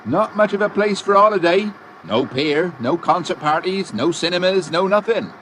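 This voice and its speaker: An actor playing a chatty, folksy railway porter with a Cornish accent in an audiobook drama. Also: male voice, sits high, lively intonation, moderate pace, a bit bright, slight background noise. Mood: frank, folksy. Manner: colloquial, folksy, emphatic.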